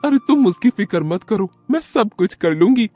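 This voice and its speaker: A fond old grandmother from a storybook cartoon, played by a woman, keen on arranging matches. She speaks with insistent affection, pitched up from plain narration, over music. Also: expressive, moderate pace, natural voice. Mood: eager, reassuring. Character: kindly elderly grandmother, persuasive busybody matchmaker.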